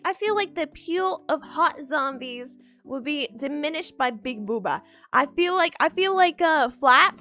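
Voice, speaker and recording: Woman streamer, bright high voice bouncing all over, giggly groggy chat, soft music under it. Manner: playful, giggly, repeats herself a lot. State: just woken up, groggy. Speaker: VTuber streamer. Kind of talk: casual chat stream talking to viewers. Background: faint background music.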